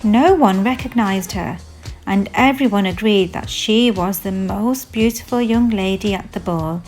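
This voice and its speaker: Female storyteller for a children's fairy tale, unhurried and expressive, mid-pitched, over music. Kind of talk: scripted children's storybook narration. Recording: music behind the voice.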